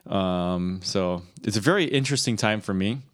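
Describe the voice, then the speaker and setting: A young man with a low voice and a Midwestern American accent co-hosts a podcast. He talks informally, wandering through his stories in a loose, conversational way. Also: moderate pace. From Michigan, US Midwest. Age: early 20s. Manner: rambling, informal storytelling. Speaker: podcast co-host. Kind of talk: casual conversation.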